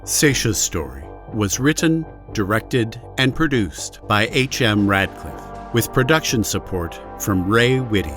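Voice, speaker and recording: Male announcer, measured pace, lively intonation, scripted credits read, music underneath. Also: fairly low pitch.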